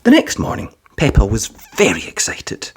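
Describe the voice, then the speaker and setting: Animated woman reading a children's story. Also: a bass voice, normal speed, slight background noise. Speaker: storybook narrator. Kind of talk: expressive children's read-aloud narration.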